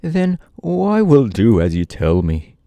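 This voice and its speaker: A man voicing a humble, simple-minded wooden sawhorse come to life, talking in a slow, wondering drawl. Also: on the low side, big pitch swings, mellow, no room sound, exaggerated voice.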